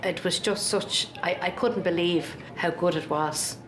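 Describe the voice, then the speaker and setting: A woman's voice: fairly low pitch, fairly even intonation, measured pace, a crowd in the background. A woman with a Dublin Irish accent recalling the past conversationally in a documentary interview. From Dublin, Ireland. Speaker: documentary interviewee, local resident. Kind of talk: conversational recollection. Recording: echoey room with clear background noise.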